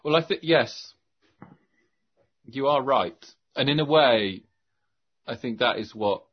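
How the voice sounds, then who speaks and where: A man's voice: lowish voice, husky. A man talking as a guest on a podcast, reflective and a bit hesitant, with light laughs. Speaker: guest, copywriter. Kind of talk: casual conversation. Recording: muffled lo-fi audio.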